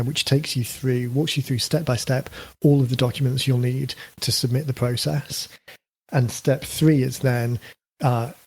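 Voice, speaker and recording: A man in the host's chair of a casual podcast, walking through marketing ideas. He thinks out loud, with plenty of ums and restarts, in a mid-pitched voice that has gone slightly croaky. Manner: explanatory, thinks aloud with frequent fillers and restarts. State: voice getting a bit croaky. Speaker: podcast host, book marketing coach.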